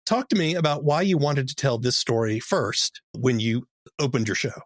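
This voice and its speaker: A man hosting an interview podcast in a measured, thoughtful way. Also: mid-range voice, lively intonation, dry recording, clean studio sound. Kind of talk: interview talk with narration.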